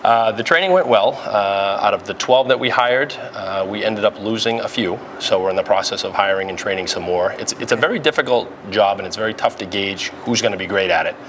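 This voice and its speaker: A man's voice: on the low side, brisk, hardly any pauses, fairly bright tone. A man explaining things informally, step by step, as a supervisor giving an interview and tour. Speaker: police dispatch supervisor. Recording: clearly noisy room ambience.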